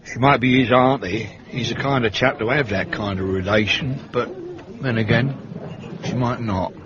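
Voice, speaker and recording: A man's voice: medium pitch, normal speed, crowd noise, natural voice. Muffled, grumbling Cockney manservant played by a man. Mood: suspicious, gossipy. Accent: Cockney London. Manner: colloquial, sarcastic, gossipy. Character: grumbling, blunt Cockney manservant, nosy and sardonic. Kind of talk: British radio detective drama acting. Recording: muffled, dull radio drama recording.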